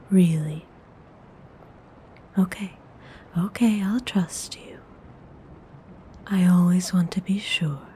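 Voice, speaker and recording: Woman acting as female vampire noble, breathy whisper, lowish pitch, dark soft tone, soothing, possessive, intimate ASMR roleplay. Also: a little hiss or hum. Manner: soothing, slow, intimate coaxing.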